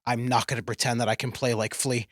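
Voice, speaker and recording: Midwest guy in his 40s, um-ing and restarting a lot, middle pitch, dry close mic. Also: little pitch movement, fast talker, no room sound. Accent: American Midwest. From Kansas City area. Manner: hesitant, many fillers and restarts. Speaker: band bassist and singer. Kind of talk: casual chat. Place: radio studio.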